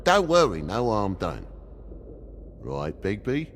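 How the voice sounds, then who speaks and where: A man voicing a cheeky, crude, excitable talking toad in a video game. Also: on the low side, slow, a little hiss or hum, exaggerated voice. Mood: defensive. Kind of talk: animated video game acting.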